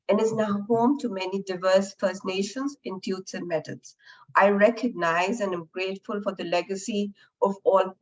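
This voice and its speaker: Woman, webinar moderator, deeper voice from a flu, frequent "uh" fillers, mid pitch, dull video-call sound. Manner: frequent "uh" fillers, friendly and welcoming. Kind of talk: opening a professional webinar.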